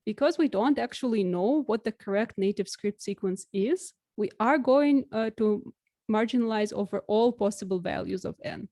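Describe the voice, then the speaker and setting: A young woman whose first language is Russian gives a recorded academic lecture over slides in a clear, measured way, with occasional fillers. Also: medium pitch, warm tone, no room sound, clean studio sound. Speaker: researcher giving a lecture.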